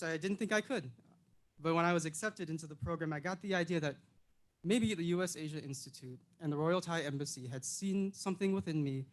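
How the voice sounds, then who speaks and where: A young man from Illinois, a program intern, reading a prepared personal speech in an earnest, measured and reflective way. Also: a little high. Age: young adult.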